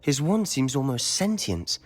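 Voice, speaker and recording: A man's voice: mid-range voice, sing-song, unhurried, faint music behind. A young man playing a confident, secretive student in a game cutscene, eager and conspiratorial. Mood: confiding.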